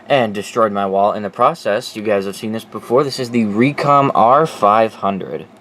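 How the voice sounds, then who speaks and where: Low voiced dude doing a loose unscripted show and tell, kinda sweary, some background hum. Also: male voice, normal speed, a bit bright. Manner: informal, profane. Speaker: collector presenting his weather radio collection. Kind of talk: casual off-the-cuff show-and-tell.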